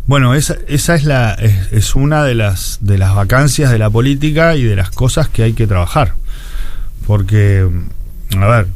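A man's voice: medium pitch, animated, normal speed, darkish tone. A man in his forties with a Uruguayan accent, giving explanatory answers as an interview guest, often repeating words as he searches for phrasing. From Montevideo, Uruguay. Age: 40s. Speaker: interview guest, education official.

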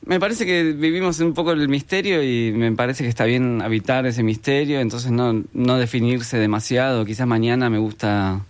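A young man with an Argentine accent talks loosely and with humour about his work as a painter and illustrator. His voice is smooth and clear, sitting in an ordinary middle pitch. He wanders a little as he talks and often makes fun of himself. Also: moderate pace, few pauses. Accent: Argentine Spanish. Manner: relaxed, rambling, self-deprecating. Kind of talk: casual interview chat.

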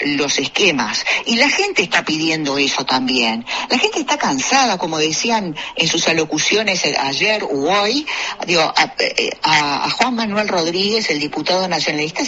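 Uruguayan man, radio co-host, bright voice, muffled broadcast sound, rambling and full of fillers. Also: a little high. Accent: Uruguayan Spanish. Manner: rambling, many fillers and restarts. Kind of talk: casual radio chat. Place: radio studio.

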